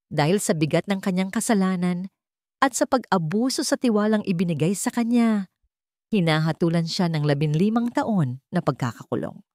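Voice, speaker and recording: A woman with a Filipino accent reads a scripted dramatic story in measured, short phrases. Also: on the low side, animated, darkish tone, dry recording, studio quality.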